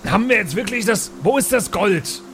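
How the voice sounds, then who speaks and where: A man's voice: high-pitched, expressive, normal speed, fairly bright tone, soft background music, pitched above the performer's own voice. A man playing a brash, brawl-loving fantasy fighter with a blunt, stubborn manner. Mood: blunt, insistent.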